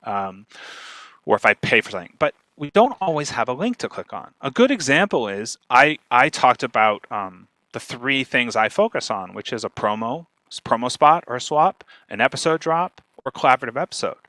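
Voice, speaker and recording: Guy explaining stuff in an online talk, punchy bright voice, emphatic and chatty, really dry close sound. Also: medium pitch, expressive, normal speed, dry recording. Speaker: guest speaker giving a marketing talk. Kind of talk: informal online presentation, explaining.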